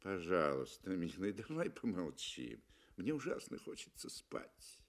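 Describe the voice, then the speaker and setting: A man's voice: low-pitched, wildly swooping pitch, unhurried, pitched below the performer's own voice, heavily acted. A cold, mocking wife voiced by a man speaks languidly. She brushes off her husband dismissively, in the manner of a 1960s radio play.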